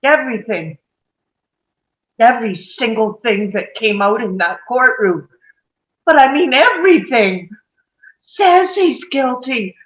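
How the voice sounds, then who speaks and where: A woman playing a stubborn, furious male juror in a dramatic table read, ranting haltingly with anguish. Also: on the high side, animated. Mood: angry, scornful. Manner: ranting, halting and repetitive, swinging to anguished shouts. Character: stubborn, furious man on a jury, breaking down. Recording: muffled, roomy home recording.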